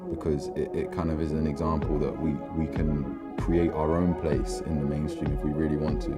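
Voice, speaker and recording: A man's voice: extremely low pitch, level tone, normal speed, over loud music. A twenty-two-year-old man, a filmmaker talking thoughtfully in a documentary interview and often restarting his sentences. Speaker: filmmaker and platform founder.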